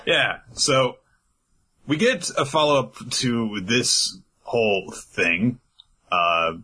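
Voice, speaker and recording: A man's voice: medium pitch, sing-song, slow pace, sharp tone. A man co-hosting a podcast, recapping anime episodes in loose, rambling chat full of filler words. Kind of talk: casual chat.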